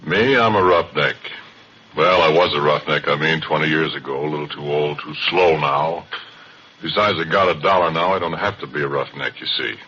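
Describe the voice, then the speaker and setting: An actor playing a middle-aged former oil roughneck who tells his story in a folksy, chatty monologue, in 1940s radio acting style on a muffled broadcast with hiss. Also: very deep voice, moderate pace, sharp tone, heavily acted. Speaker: narrator.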